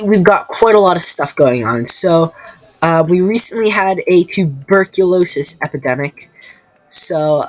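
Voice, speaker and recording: A woman with a stuffed-up, slightly nasal voice talks through her gameplay in a casual, halting way, full of ums and restarts. Her voice sits a little low and she takes her time. Soft music plays faintly behind her. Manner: hesitant, many ums and restarts. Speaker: gameplay video creator. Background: faint background music.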